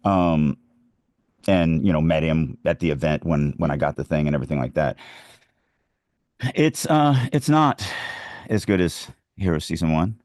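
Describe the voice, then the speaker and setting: A man's voice: extremely low pitch, lively intonation, normal speed, long pauses, a little mellow, dry recording, studio quality. A man hosting a casual podcast chat about writing, speaking emphatically and acting out examples to make his points. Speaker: podcast host and writing coach.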